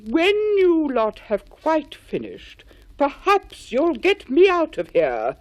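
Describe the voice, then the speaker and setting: A woman's voice: sing-song, slow pace, dark tone, no echo, full character voice, poor audio. Woman doing a timid little Mickaboo. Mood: exasperated. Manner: high, nervous, stammering. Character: small timid Mickaboo creature, easily frightened.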